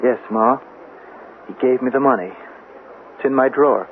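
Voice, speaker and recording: A man's voice: medium pitch, moderate pace, natural voice. An actor playing a desperate young man in his twenties accused of murder, stammering and pleading in a 1970s radio drama style. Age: 20s. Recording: noisy phone-like old radio drama recording.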